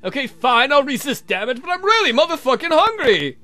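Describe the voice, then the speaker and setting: A clumsy, flirtatious vampire count, voiced by a man in a game read-aloud. He grumbles and swears theatrically in a high, bright voice that swings widely. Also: sing-song, fairly quick, heavily acted. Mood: exasperated.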